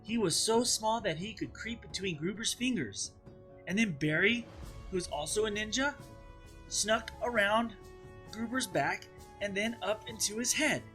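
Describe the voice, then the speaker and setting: A man's voice: high-pitched, a little slow, sharp tone, soft background music, pitched above the performer's own voice. A man voicing an imaginative, stubborn young girl who loves telling stories, in a dramatized children's read-aloud. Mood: excited, triumphant.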